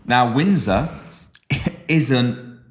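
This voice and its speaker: A man from the West Midlands co-hosting a podcast in casual chat, animated and teasing, with sudden jumps up in pitch when he stresses a point. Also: extremely slow. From West Midlands, England. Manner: teasing, joking banter. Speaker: podcast co-host.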